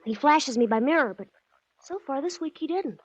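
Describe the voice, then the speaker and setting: A woman's voice: high-pitched, expressive, normal speed, no echo. A woman playing an earnest, secretive small-town American boy with hesitant kid slang in a 1940s radio drama. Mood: earnest, worried. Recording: dull old 1940s radio recording.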